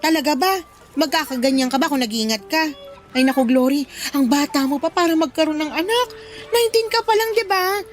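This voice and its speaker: A woman plays a no-nonsense older workmate in a radio drama. Her high voice is frank and chiding, like an elder sister who questions everything. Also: a little fast, faint music behind, close to an everyday voice. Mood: scolding. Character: blunt, scolding older female coworker, a mother herself. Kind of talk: Tagalog radio drama acting.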